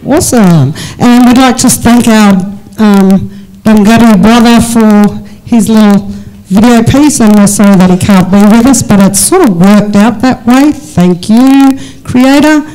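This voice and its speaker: An Australian speaker hosting a live panel discussion in a relaxed, joking manner. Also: mid-range voice, poor audio. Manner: relaxed, joking, drawn-out phrasing. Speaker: panel host.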